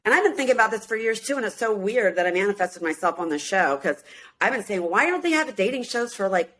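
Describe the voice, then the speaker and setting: Animated woman in her fifties. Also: mid-range voice, fast. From Minneapolis, US. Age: 50s. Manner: chatty and animated, laughs often, acts out quotes. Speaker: guest, singer and reality show cast member. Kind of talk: casual interview chat.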